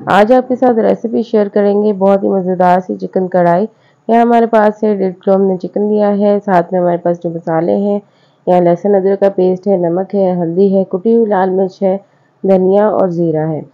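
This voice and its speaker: A woman's voice: mid-range voice, steady tone, moderate pace. Friendly woman narrating a home recipe. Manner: friendly, repetitive home-cook explaining step by step. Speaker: cooking channel host. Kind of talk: voice-over recipe instructions. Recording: slightly dull, lo-fi sound.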